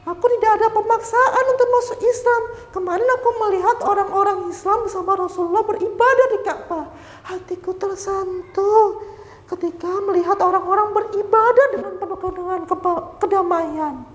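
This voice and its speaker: A woman voicing a young woman figure in a children's story, speaking shrilly, fast and emphatically. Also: very high voice, pitched above the performer's own voice. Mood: earnest. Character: upset then tender young woman Hindun. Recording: echoey hall reverb.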